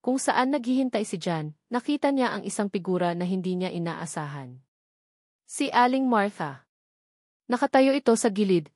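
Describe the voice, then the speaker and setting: A woman narrates a scripted love story in the manner of a dramatic audiobook. Her pitch sits in the middle of the female range. The sound is dry, with no room around it. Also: a little mellow, no echo.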